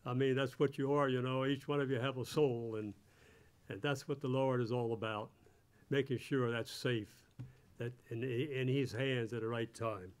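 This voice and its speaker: A man in his eighties with a mid-range voice speaks at a church pulpit. He gives a testimony from notes in a halting way, often repeating a word before he carries on. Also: medium pitch, steady tone, clear, resonant tone. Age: 80s. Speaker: church member giving testimony. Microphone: microphone on a stand at the pulpit.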